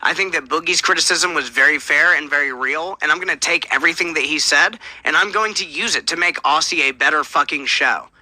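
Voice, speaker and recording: High, sharp-voiced man arguing heatedly. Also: a little fast, few pauses, no echo, poor audio. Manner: heated, profane and confrontational. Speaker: livestream show host. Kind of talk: heated livestream argument.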